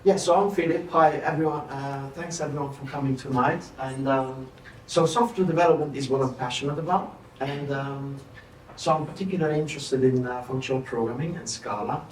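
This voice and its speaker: A man's voice: medium pitch, a little fast, slight background noise. A middle-aged man whose first language is Italian, with a mixed accent, giving a chatty step-by-step technical talk with slides at a meetup. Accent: mixed Italian, German and English. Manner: chatty, explains step by step, gestures at screen. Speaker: conference speaker. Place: large meetup room with projector. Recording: echoey room, recorded from a distance.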